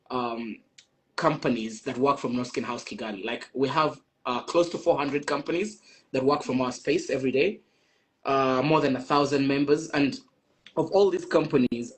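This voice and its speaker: A young man from Ghana hosting a casual live-stream chat, laughing often and tripping over his words as he talks. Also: medium pitch.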